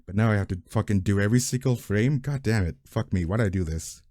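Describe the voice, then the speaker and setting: A man's voice: lowish voice, quick pace, a little mellow, a little hiss or hum. A man talking casually as an animator, blunt and self-deprecating, swearing freely. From family from Mexico. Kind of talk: casual chat.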